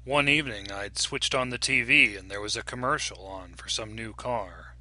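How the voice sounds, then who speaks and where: A man giving a dramatic, scripted narration of fiction in a measured, solemn, deliberate manner. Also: fairly low pitch, pushed and bright. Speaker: audiobook narrator.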